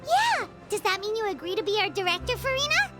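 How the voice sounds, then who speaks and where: Tiny cheeky fairy mascot for an anime game: extremely high, squeaky, bouncy childlike intonation. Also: female voice, sing-song, fast, over loud music. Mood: eager, chirpy. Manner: chirpy, squeaky, speaks of self in third person. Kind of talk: anime video game voice acting.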